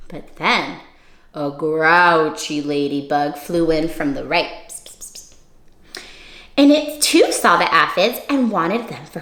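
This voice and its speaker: Slow, animated woman reading to children. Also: on the low side, reverberant. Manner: animated, coaxing, simple questions to children. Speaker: children's storytime reader. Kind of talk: interactive picture-book read-aloud for young children.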